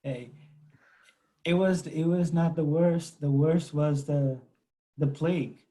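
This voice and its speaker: A man's voice: a little high, steady tone, takes it slowly, warm tone, low-quality recording. A male student chatting on a class video call, his voice worn and going. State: losing his voice. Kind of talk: casual chat on a video call.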